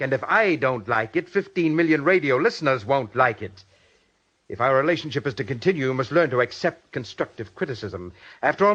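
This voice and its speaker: A pompous, vain old-time radio boss. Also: male voice, a little high, fairly bright tone. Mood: indignant, haughty. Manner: pompous, condescending, deliberate formal diction. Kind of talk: 1940s radio drama acting. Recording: dull old radio broadcast recording.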